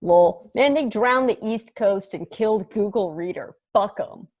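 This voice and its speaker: A woman's voice: mid-range voice, unhurried, darkish tone, lightly acted. A woman playing a crude, mischievous space probe in a table read, talking in a slangy, goading way. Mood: irreverent, goading. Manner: slangy, goading, foul-mouthed.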